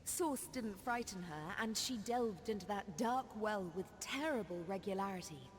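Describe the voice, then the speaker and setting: An actress playing a proud, sorrowful forest witch in a fantasy video game, telling her tale in measured, formal speech. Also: mid-range voice, animated, slight background noise, close to an everyday voice. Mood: sorrowful, reflective. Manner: measured, solemn, formal storytelling. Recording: long reverb on game dialogue.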